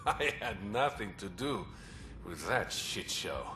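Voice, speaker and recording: An actor playing a secretive man who brokers information in a port, confiding and smooth. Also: fairly low pitch, big pitch swings, takes it slowly, sharp tone, slight background noise, exaggerated voice. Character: shadowy male underworld information broker.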